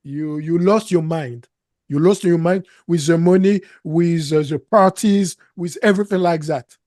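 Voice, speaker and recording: A man in his thirties speaking English with a French accent, his first language being French. He talks slowly and haltingly as an interview guest, repeating words as he searches for phrasing. The sound is dry and close, seated in a studio. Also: on the high side, darkish tone, no echo. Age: 30s. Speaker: business coach guest. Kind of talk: interview conversation. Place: studio set, seated in armchair.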